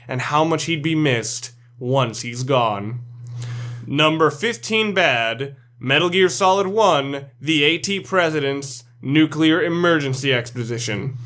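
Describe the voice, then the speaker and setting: A man's voice: on the high side, fairly bright tone. A man reading scripted commentary for a video game ranking list, blunt and opinionated.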